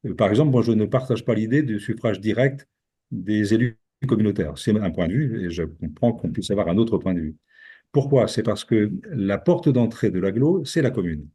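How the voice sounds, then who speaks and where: Older man, low husky voice. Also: lively intonation, normal speed, dark tone. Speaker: mayor. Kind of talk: interview answer giving opinions.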